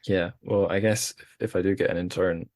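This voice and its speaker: A man with a very low voice hosts a podcast in relaxed conversation. He rambles and pokes fun at himself, restarting his sentences often. The sound is dry and close, with no room echo. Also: a little fast. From Scotland. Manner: rambling, self-deprecating, many restarts. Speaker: podcast host. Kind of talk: casual chat.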